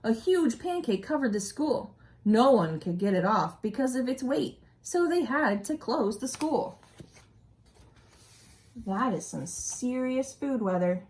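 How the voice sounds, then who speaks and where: A woman's voice: on the high side, measured pace. A woman reading a children's story aloud expressively, as an educator addressing young listeners. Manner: animated storytelling with explanatory asides and questions to young listeners. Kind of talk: expressive picture-book read-aloud.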